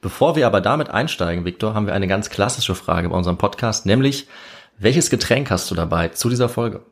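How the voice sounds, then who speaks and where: A man with a fairly low voice hosts a podcast. He talks in a casual, conversational way, as in a relaxed exchange with a co-host. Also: brisk, gravelly.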